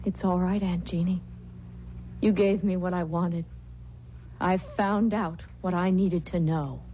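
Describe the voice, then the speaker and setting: A desperate young wife acted by a woman in an old radio play, muffled and buried in noise. Her voice is high and she speaks in a haunted, defiant, melodramatic way, taking her time. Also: steady tone, a little slow, natural voice. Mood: tense, urgent. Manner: intense, melodramatic.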